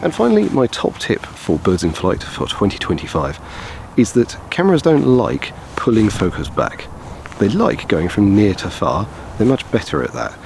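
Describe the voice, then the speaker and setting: A man's voice: lowish voice, wildly swooping pitch, moderate pace, gravelly, a little mellow, faint music behind. A man in his thirties explaining photography technique on an outdoor walk, sounding a bit tired, gesturing as he talks. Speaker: wildlife photographer. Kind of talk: outdoor vlog tutorial. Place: outdoors on a grassy path by wetland. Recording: outdoor noise behind the voice. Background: chainsaws and traffic in the distance.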